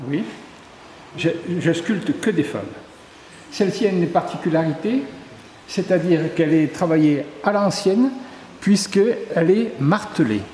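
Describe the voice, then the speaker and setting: Sculptor from southern France, somewhat high voice, walking through his exhibit; echoey hall, faint background noise. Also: expressive, a little slow, a little mellow. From southern Ardèche, France. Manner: descriptive, lists details with hesitations. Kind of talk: interview, explaining his artworks. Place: tourist office exhibition hall.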